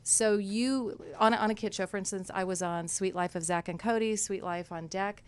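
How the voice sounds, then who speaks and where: A woman chatting as a guest on an interview show, bubbly and quick to laugh. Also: medium pitch, steady tone, clear, resonant tone. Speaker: guest dialogue coach. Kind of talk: casual interview chat.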